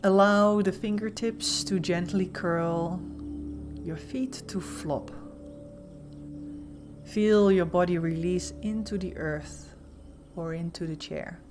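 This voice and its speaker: A woman leading a guided meditation as an instructor, giving gentle, soothing, softly spoken instructions with pauses. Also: fairly low pitch, faint music behind.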